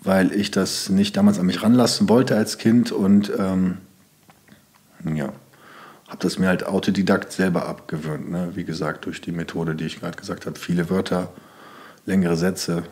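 A man's voice: deep voice, steady tone, normal speed, darkish tone. A young man from Germany, speaking as a podcast guest in loose, rambling sentences full of fillers. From Germany (Sauerland). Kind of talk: casual interview talk.

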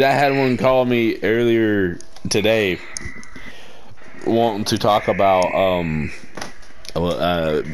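A young man with a fairly deep voice, chatting casually and rambling while playing a game, slangy and self-mocking. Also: a little hiss or hum. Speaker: gaming channel co-host.

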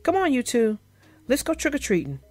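A woman's voice: high voice, wildly swooping pitch, fairly quick, a little mellow, no echo, soft background music, exaggerated voice. A woman voicing a proud, kind young hippo girl, a big sister in a storybook. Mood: confident, cheerful.

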